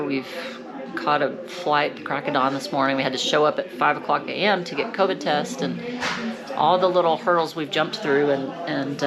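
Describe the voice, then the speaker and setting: Low, creaky-voiced woman, upset. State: upset, anxious. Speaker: travel vlogger. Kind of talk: candid vlog talk to camera.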